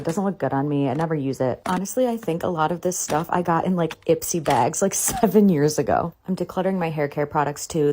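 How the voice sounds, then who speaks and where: A woman's voice: deep voice, gravelly, a little mellow, a little hiss or hum. A woman of about thirty doing a casual, confessional voice-over, conversational and candid with a self-deprecating streak. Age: 30s. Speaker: beauty and decluttering vlogger.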